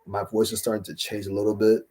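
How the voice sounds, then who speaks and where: A young man hosting a casual livestream Q&A, rambling with lots of fillers and slang, his voice a little raspy from talking all day. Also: on the low side, monotone, fast talker, a little mellow. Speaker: livestream host answering chat.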